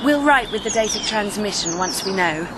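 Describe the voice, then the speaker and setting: An actress playing a cheerful young television producer in a radio drama, courteous and businesslike. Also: female voice, medium pitch, lively intonation, normal speed, heavy background noise, natural voice. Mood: polite, cheerful.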